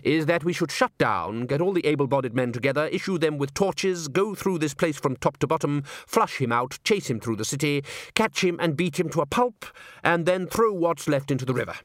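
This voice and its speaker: A man voicing a calm, dryly sardonic opera music director in an audiobook, with a measured, patient, deadpan manner. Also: a little high, no room sound, studio quality, voice pushed up, close to an everyday voice. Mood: coolly forceful.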